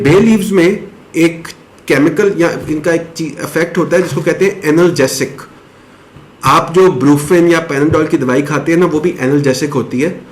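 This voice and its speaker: A man explaining a home remedy step by step in a casual, chatty way, now and then clearing his throat. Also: a little high. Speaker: health advice presenter. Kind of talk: casual explanatory talk to camera.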